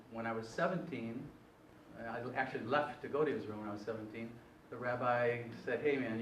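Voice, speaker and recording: A man in his sixties shares his life story at a panel, rambling and often correcting himself. He sounds distant from the mic in a roomy, echoing hall. A faint noise sits underneath. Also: medium pitch. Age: 60s. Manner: rambling, self-correcting, hesitant. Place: event hall. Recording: echoey room, far from mic.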